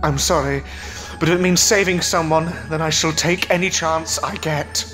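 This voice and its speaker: A man's voice: on the high side, steady tone, normal speed, a bit bright, close to an everyday voice. A man playing a loyal, grieving hero in an audio horror drama, with an earnest, solemn and dramatic delivery. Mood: solemn farewell. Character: grieving, self-sacrificing, loyal man defying a monster. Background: music.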